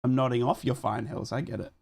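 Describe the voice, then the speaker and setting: A hoarse man streaming a football watchalong, talking casually at a middle pitch as he reads out scores and thanks viewers for donations. He sounds a little sick, his voice wearing thin. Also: fast talker. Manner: chatty, reads live scores and donations aloud, shouts on donations. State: losing his voice, a bit sick. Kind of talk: casual live football commentary and chat.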